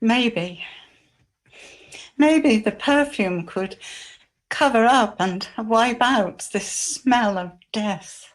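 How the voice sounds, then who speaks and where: A woman playing a grieving, devoted biblical woman, tender and anguished, in a halting, emotional dramatic monologue for church. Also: fairly high pitch. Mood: weeping sorrow.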